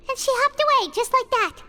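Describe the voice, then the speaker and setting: A woman playing a tiny, excitable, childlike fairy companion in a game, with a squeaky, earnest delivery. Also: heavily acted. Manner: squeaky, earnest, refers to self in third person. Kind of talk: English game dub acting.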